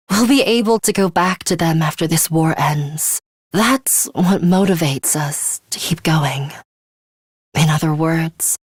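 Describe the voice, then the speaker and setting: A woman's voice: on the low side, breathy edge, dry recording, studio quality. A woman voicing a cold, bloodthirsty young female fighter in an English video game, formal and menacing with theatrical flourishes.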